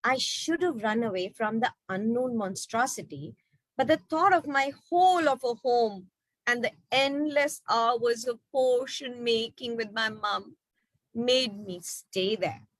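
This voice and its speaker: Unhurried, high-voiced woman storyteller. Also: no echo, poor audio. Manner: expressive, asks rhetorical questions. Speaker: storyteller at an online children's book reading. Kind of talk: storytelling for children.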